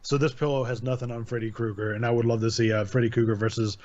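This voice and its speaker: A man's voice: mid-range voice, flat delivery, quick pace, a little mellow. Rambling man with a dull lo-fi sound. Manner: rambling, laughs often, repeats words. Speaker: channel host. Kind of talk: casual chat reviewing horror stories.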